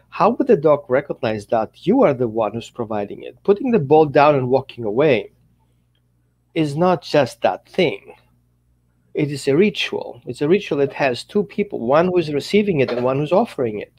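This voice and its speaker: Greek-accented man, lively headset chat. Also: fairly high pitch, slightly rough. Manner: animated, talks with his hands, non-native phrasing. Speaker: dog trainer, co-host. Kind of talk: casual video-call chat. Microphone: headset on a video call.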